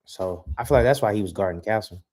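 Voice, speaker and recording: A man with a fairly low, mellow voice co-hosts a sports podcast. He lays out his basketball analysis casually, in slangy, explanatory talk. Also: quick pace.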